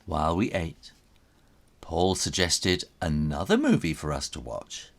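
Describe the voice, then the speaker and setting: A man's voice: low voice, very animated, a little slow. A man reading a children's story aloud as its author, theatrical and suspenseful, drawing out his words.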